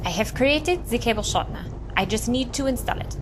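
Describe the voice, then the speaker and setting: A woman's voice: on the high side, animated, fairly quick, noisy background, lightly acted. An actress playing a busy, brusque woman engineer and inventor with a German accent and clipped delivery in an audio drama. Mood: matter-of-fact.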